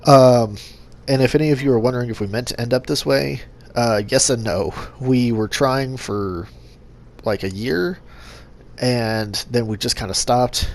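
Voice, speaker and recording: A young man hosting a gaming channel, talking casually to his subscribers in a monotone with lots of fillers and restarts. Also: medium pitch, a little slow. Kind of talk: casual vlog update to subscribers.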